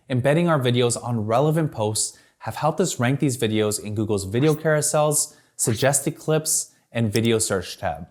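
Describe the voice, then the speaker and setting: A man presents a scripted tutorial, reading smoothly from a teleprompter. His voice sits at an ordinary middle pitch, and he delivers each point in a clear, structured, upbeat manner. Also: a little mellow. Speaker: video marketing presenter.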